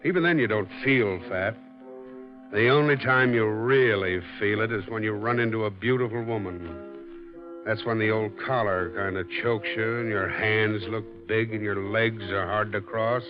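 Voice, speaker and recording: A man's voice: lowish voice, moderate pace, natural voice. An actor playing a wry, heavyset hard-boiled detective who narrates his own case in a 1940s radio drama. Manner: wry, easygoing first-person narration. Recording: dull lo-fi vintage radio recording with music.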